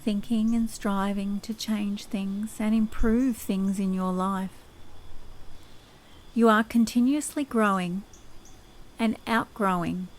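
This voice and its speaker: A woman leading guided sleep hypnosis in a soothing, unhurried, measured manner. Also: mid-range voice, a little hiss or hum, low-quality recording.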